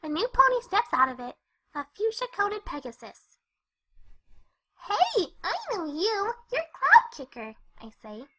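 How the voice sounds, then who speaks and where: A woman voicing a bubbly, excitable young female cartoon pony, perky and chatty as she narrates a storybook tale. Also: very high voice, measured pace, heavily acted. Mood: cheerful, upbeat. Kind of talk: first-person storybook narration with character dialogue.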